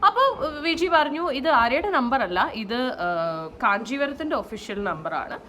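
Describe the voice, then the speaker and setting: A woman telling a story in a casual, animated vlog style, mixing in English phrases. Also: high-pitched, measured pace. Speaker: vlogger and saree store owner. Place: at home, indoors. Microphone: no external microphone, camera's own mic. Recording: faint noise, no microphone.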